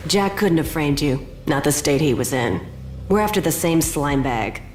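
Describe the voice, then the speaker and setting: A woman's voice: sits low, lively intonation, moderate pace, darkish tone. An actress playing a cool, dry-spoken female professional killer. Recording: echoey with faint music.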